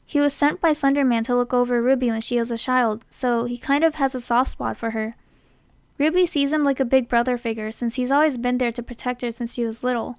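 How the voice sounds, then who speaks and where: A woman's voice: on the high side, fairly even intonation, brisk, clear, resonant tone, no echo. A woman hosting a casting call with scripted narration.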